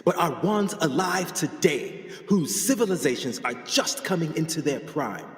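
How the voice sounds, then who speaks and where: An actor playing a proud, passionate 1920s explorer and scholar in a radio play, speaking in oratorical style. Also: male voice, on the high side, animated, normal speed, soft background music, lightly acted. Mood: grand, persuasive. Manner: declamatory, oratorical. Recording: echoey hall with long reverb.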